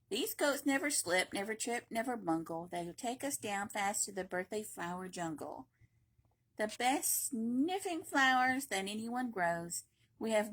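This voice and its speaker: A woman reading a children's picture book aloud in an animated, playful, emphatic way, with brief asides. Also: a little high. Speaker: library read-aloud reader.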